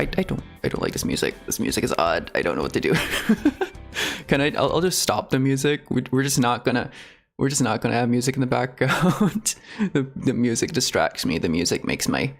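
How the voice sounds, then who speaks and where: A man chatting casually on a livestream in a halting, rambling, giggly way. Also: fairly low pitch, creaky. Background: video game music.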